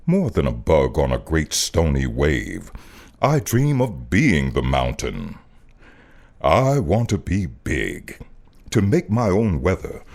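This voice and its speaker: Man, American accent, very low bass voice, unhurried pace, theatrical poetry recitation, deliberate phrasing. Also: darkish tone. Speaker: voice artist reading a poem.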